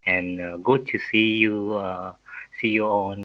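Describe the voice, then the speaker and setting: A man's voice: on the low side, level tone, unhurried. A man, hesitantly introducing himself in an online class and repeating words. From Vietnam. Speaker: class participant. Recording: dull lo-fi call audio.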